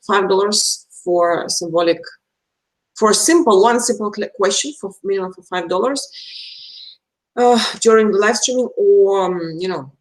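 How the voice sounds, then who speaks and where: A woman from Belarus, a native Russian speaker with a Russian accent, chatting casually and haltingly as she hosts an astrology live stream. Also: mid-range voice, low-quality recording. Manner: chatty, halting, searching for words.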